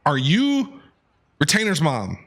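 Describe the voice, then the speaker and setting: A man doing live gameplay commentary as a streamer, excitable and thinking out loud. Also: fairly high pitch, slow pace, a little hiss or hum. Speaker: game streamer. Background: game music.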